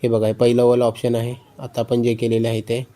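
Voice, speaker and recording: Male tutorial host, mellow and relaxed tone, mid pitch, patient step-by-step instruction with repeated phrases. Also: a little fast. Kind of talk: phone tutorial narration.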